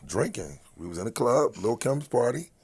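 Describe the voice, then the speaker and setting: A man's voice: mid-range voice, big pitch swings, normal speed, gravelly, darkish tone. A middle-aged man from Philadelphia with a big voice, talking bluntly and with profanity as a guest in a casual interview.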